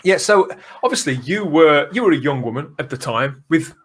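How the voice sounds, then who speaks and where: A man's voice: fairly high pitch, lively intonation, moderate pace, fairly bright tone. A British man in his forties hosting a podcast in a casual, playful, jokey way. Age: 40s. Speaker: podcast host. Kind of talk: casual conversational chat.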